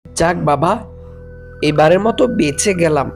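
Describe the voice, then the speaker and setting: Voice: mid-range voice, moderate pace, soft background music, close to an everyday voice. A cartoon performer playing a fearful villager who worries aloud and puts up bravado. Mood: relieved. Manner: muttering worries to self, nervously defiant. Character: fearful villager carrying fish home, putting up bravado. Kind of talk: Bengali ghost cartoon dialogue.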